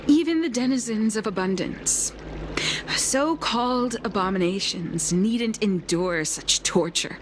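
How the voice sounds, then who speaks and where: Slow, solemn woman alchemist, played by an actress. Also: medium pitch, lively intonation, noisy background, natural voice. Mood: bitter. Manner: measured, formal, solemn delivery with deliberate pauses. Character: blind woman chief alchemist, long-lived, stoic, quietly bitter. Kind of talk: video game story dialogue.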